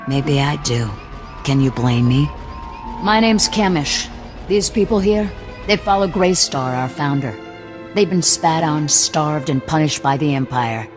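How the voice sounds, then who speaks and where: A man's voice: a little high, lively intonation, a little slow, over music. An actor playing a hard, distrustful male rebel leader with cybernetic implants, talking curtly and with open threat in a game cutscene. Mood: hostile. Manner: gruff, threatening, curt. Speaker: resistance cell leader. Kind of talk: video game cutscene acting.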